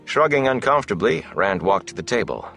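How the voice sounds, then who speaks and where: Casting: male audiobook narrator, deep voice, scripted fantasy storytelling. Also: expressive, a little fast, fairly bright tone, soft background music.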